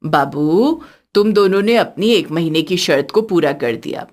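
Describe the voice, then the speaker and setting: A woman voicing an elderly, wise and affectionate village mother-in-law in a cartoon story, speaking in a measured, earnest way. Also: on the low side, expressive, a little mellow. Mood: resigned.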